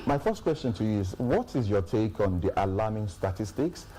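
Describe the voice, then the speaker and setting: A man with a Nigerian accent presenting the news in a formal, deliberate way and questioning a studio guest. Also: lowish voice, very animated, dark tone, breathy edge, poor audio. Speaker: news anchor.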